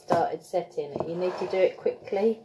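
A middle-aged woman in a home kitchen, briskly giving step-by-step cooking directions to her child. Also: fairly low pitch. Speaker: mother helping with cooking. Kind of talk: casual home cooking instructions. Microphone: phone camera propped on the counter, off to one side. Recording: loud background noise, home phone recording.

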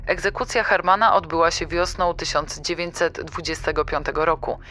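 A woman's voice: on the low side, brisk, bright, forceful tone. A woman reading scripted crime documentary narration.

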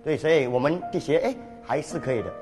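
A fifty-year-old factory worker talks quickly and earnestly, his voice a touch high. Clear music plays under his words throughout. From Singapore. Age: 50s. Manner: plain, earnest talk. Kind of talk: documentary interview. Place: factory storeroom with shelves.

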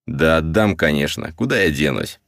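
A man's voice: very deep voice, animated, moderate pace, creaky, a bit bright, no echo, studio quality, full character voice. A man voicing a drunk, guilt-ridden, blunt army colonel for an audiobook. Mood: resigned. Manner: blunt, swearing, self-reproaching. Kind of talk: audiobook character voice.

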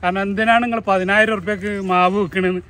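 A man from Kerala giving a casual tour of his plant nursery, talking in an animated, argumentative way and asking rhetorical questions. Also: sits high, fast. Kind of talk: casual garden tour talk.

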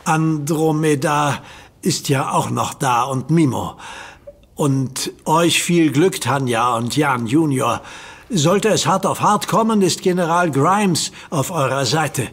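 Worn-out hero, hesitant, slow and husky, audio drama. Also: male voice, fairly high pitch, heavily acted. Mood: subdued. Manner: hesitant, subdued. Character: weakened middle-aged male hero, Jan Tenner, hiding distress.

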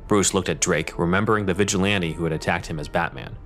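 A man's voice: low voice, fast, a bit bright, a little hiss or hum. A man reading scripted dramatic narration as the narrator of an audio drama.

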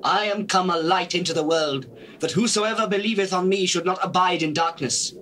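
Solemn male religious teacher, slightly high voice, authoritative, measured declamation, scripture drama, old cassette noise. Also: pushed and bright. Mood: proclaiming. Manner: measured, declamatory, deliberate phrasing. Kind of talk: dramatized King James Bible reading. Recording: noisy old cassette recording.

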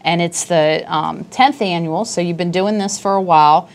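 A middle-aged woman hosts a casual studio interview in a slightly low voice. She asks questions and chimes in often with friendly affirmations, recorded on a lapel mic. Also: moderate pace. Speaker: host. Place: TV studio set.

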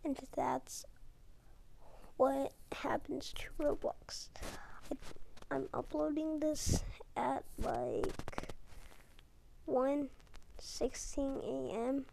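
Young girl whispering into a tablet at night so nobody wakes, slow and a bit gravelly. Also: high voice, lively intonation, a little hiss or hum, rough recording. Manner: hushed, often whispering, with halting restarts. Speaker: video uploader. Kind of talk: casual talk over a screen recording. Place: home at night. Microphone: tablet mic.

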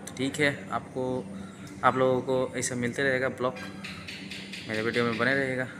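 A man's voice: mid-range voice, flat delivery, moderate pace, music in the background. A man in his thirties with an Indian accent, chatting casually and informally to viewers as a vlogger, recorded on a phone held at arm's length. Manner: informal, addresses viewers as friends. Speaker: vlogger and factory worker. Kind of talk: casual vlog chat to camera. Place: tea factory halls and yard. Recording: noisy factory ambience, phone recording.

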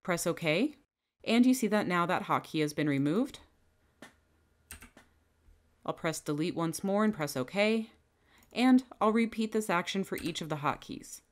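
A woman giving calm, methodical step-by-step instructions as a software trainer in a scripted screen-recording tutorial. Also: on the low side, unhurried, long pauses.

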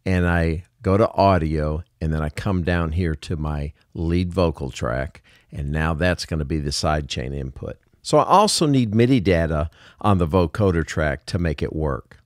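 Male tutorial host, deep voice, dry close-mic sound, informal step-by-step explainer. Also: dry recording. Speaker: music production tutorial host. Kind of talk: casual software tutorial narration.

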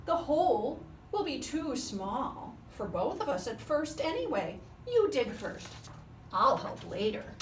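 A woman's voice: sits high, big pitch swings, takes it slowly, slight background noise, pitched above the performer's own voice, full character voice. A woman putting on a whiny little koala. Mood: complaining. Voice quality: whining, pleading. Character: whiny tired young koala. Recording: roomy, distant mic, soft cello music.

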